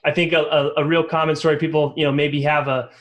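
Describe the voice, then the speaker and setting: A man's voice: on the high side, little pitch movement, quick pace, highs cut off. A man hosting an interview podcast, asking loose, rambling questions and restarting his sentences. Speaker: podcast host and company founder.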